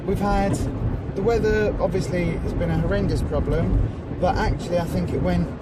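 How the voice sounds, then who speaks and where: A woman's voice: fairly low pitch, fairly even intonation, normal speed. A woman in her forties chatting casually to camera as a passenger in a moving car, rambling and self-mocking with lots of fillers. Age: 40s. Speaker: vlogger, charity organiser. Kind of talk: casual vlog chat. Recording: loud car road noise. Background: engine and road noise.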